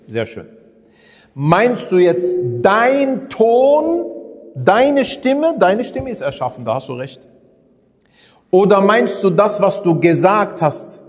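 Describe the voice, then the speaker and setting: A man's voice: fairly high pitch, a little mellow, long reverb tail. A man teaching a religious lesson in a conversational way, often asking his listeners rhetorical questions. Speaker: Islamic preacher.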